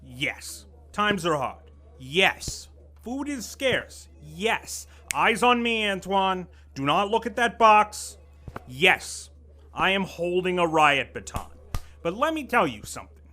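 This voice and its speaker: A man voicing a smug, menacing corporate boss in an audio drama who lectures his staff with pompous condescension. His voice sits fairly high and swings widely, with a bright, sharp edge. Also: very animated, slow, faint music behind, full character voice. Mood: lecturing. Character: pompous middle-aged male corporate boss, menacing and sly. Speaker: office vice president.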